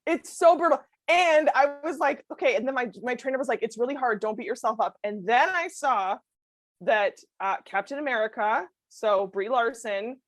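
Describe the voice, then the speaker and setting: A woman hosting a casual interview over a video call, enthusiastic and quick to laugh. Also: fairly high pitch, no echo. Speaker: interview host.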